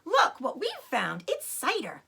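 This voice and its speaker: A woman voicing a small, excitable young fox in a storybook, eager and full of exclamation. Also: sits high, a little slow, gravelly, husky, pitched above the performer's own voice, exaggerated voice. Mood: excited.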